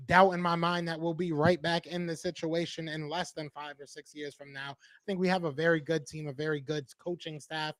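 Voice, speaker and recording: A man, hosting a casual sports chat, upbeat and quick to laugh. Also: on the high side, level tone, fairly bright tone, no echo. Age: 30s.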